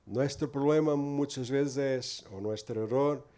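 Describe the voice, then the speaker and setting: A man's voice: mid-range voice, a little slow, mellow. A man from Portugal preaching in Spanish with a Portuguese accent, giving an earnest, informal sermon full of tag questions.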